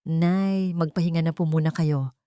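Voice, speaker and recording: A gentle Filipino household helper, read by a woman narrator, speaks softly and with respect. The voice is slightly low, in a dry, close audio story recording. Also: steady tone, normal speed, clear, resonant tone, dry recording, close to an everyday voice. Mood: gentle concern.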